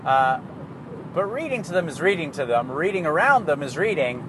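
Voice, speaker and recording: Canadian guy, somewhat high voice, loose profane monologue, car and street noise audible behind. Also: big pitch swings, normal speed. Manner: rambling, profane, laughs at himself. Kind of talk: casual monologue.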